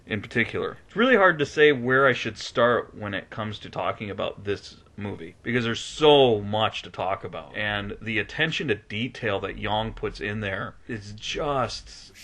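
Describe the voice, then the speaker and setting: A man's voice: fairly low pitch, lively intonation, normal speed, hardly any pauses, a bit bright. A man hosting a video review show, giving conversational commentary in an earnest, emphatic and enthusiastic manner. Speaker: host of game movie review show.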